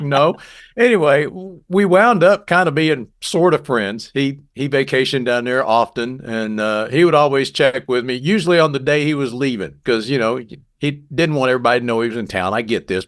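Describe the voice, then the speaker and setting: A man's voice: mid-range voice, no room sound, clean studio sound. A man from Texas co-hosting a podcast, telling anecdotes in a casual, joking way like a former radio presenter. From Texas, United States. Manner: animated, joking, acts out quoted dialogue.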